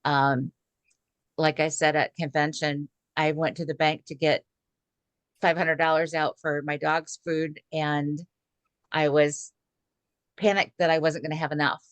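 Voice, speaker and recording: Low-voiced woman in her sixties, chatty. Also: flat delivery, unhurried, long pauses, dry recording. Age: 60s. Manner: chatty, many "um" fillers. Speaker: guest speaker. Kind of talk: casual video call talk. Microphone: video call.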